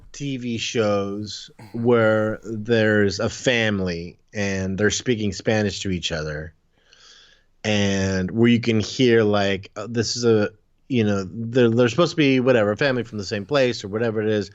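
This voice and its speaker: A man co-hosting a podcast, chatting casually about a film in halting, rambling sentences. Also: on the low side, steady tone, clean tone, no room sound, highs cut off. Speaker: podcast co-host. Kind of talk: casual movie discussion.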